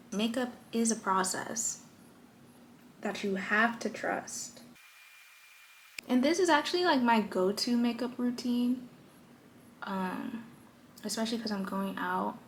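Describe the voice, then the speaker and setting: A woman's voice: medium pitch, fairly bright tone, slight background noise. A young woman vlogger chatting playfully through her everyday makeup routine at her vanity, with joking asides. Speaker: lifestyle vlogger. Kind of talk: casual chatty makeup tutorial.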